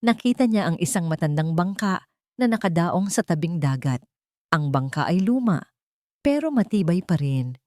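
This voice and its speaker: Female audiobook narrator for scripted drama, low register, dry studio-like sound. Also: animated, moderate pace, dark tone, no echo.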